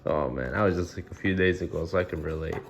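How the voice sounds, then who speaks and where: A man's voice: low-pitched, fast talker, highs cut off, a little hiss or hum. A man hosting a read-aloud, reading a children's storybook expressively with casual asides.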